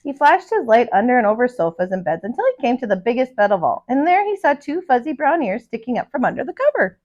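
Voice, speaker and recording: A woman who is a teacher and storyteller, reading a children's picture book aloud in an expressive, dramatic way. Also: fairly high pitch, quick pace, highs cut off.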